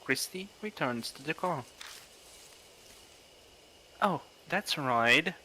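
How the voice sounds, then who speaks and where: A man narrating a horror game on a livestream, reading aloud deliberately with pauses. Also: a little high, animated, pushed and bright, a little hiss or hum, rough recording. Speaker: streamer reading a visual novel aloud.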